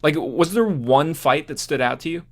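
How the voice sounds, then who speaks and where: A man co-hosting a casual movie discussion podcast, talking informally and thinking out loud in a halting way. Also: a little high, lively intonation. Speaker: podcast co-host.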